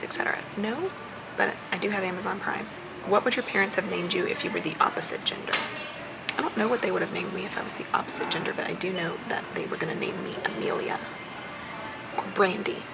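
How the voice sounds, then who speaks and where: A young American woman with a lot of creak in her voice talks casually, like a vlogger answering questions for her viewers. She chews gum as she goes. Clear ambient noise sits behind her. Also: mid-range voice. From southern United States. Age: young adult. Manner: chewing gum while talking.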